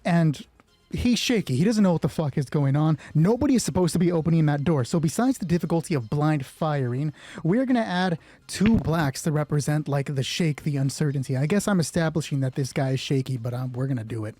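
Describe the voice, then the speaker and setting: A man's voice: fairly high pitch, expressive, fairly quick, mellow, no echo. A man casually narrating an improvised solo roleplay game, thinking aloud and swearing offhandedly.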